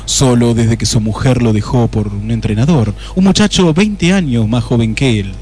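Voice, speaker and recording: A man's voice: medium pitch, moderate pace, a little mellow, slight background noise, natural voice. A man playing the wry, theatrical narrator of a detective spoof, reading scripted radio play narration with an Argentine accent. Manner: theatrical, mock-solemn.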